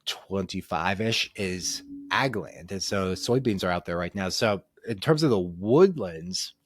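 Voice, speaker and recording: A man talks through a solo podcast in a loose, rambling way, full of ums and restarts. His lowish voice sounds a little nasal and stuffed up from a cold. The sound is dry and close. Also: no echo. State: congested from a cold. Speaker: podcast host. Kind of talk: casual solo podcast monologue.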